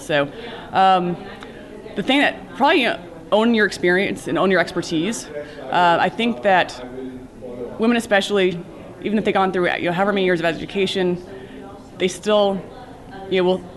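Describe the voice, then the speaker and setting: A cybersecurity professional giving earnest advice in a conference interview, with many fillers and restarts. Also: male voice, high voice.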